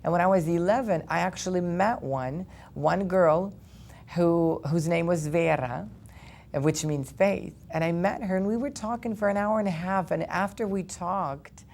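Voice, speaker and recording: A young man from Ukraine, earnestly telling his personal story as an interview guest, with quick animated bursts. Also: high-pitched, creaky, a little hiss or hum. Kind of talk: personal testimony in a studio interview.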